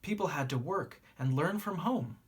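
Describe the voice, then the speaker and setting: A woman reading a children's book aloud like a teacher, with deliberate phrasing and expressive pauses. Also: low-pitched, a little hiss or hum.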